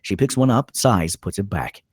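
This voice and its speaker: A man narrates screenplay directions in a low, husky voice. His pitch moves around a great deal as he sets each scene, and the sound is dry and close. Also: lively intonation, moderate pace, dry recording. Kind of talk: scripted narration of a screenplay table read.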